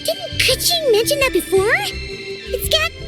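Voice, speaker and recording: A woman voicing a tiny, cheeky female fairy companion in a video game, talking in a squeaky, childlike way and calling herself by name. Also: expressive, measured pace, sharp tone, faint music behind, full character voice. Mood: puzzled.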